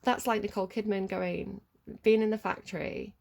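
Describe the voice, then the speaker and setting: Chatty British woman with gravelly voice. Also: mid-range voice, steady tone, normal speed, darkish tone. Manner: chatty and rambling, laughs often. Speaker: tarot reader. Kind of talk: casual tarot reading chat.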